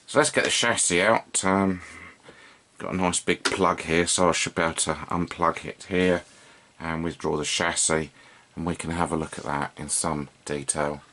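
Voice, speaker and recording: Low-voiced man thinking aloud over background noise. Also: steady tone, normal speed, bright, forceful tone. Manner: hesitant, thinking aloud, understated. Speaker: host of a hobby radio repair video. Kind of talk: casual unscripted demonstration commentary. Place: indoors at a workbench.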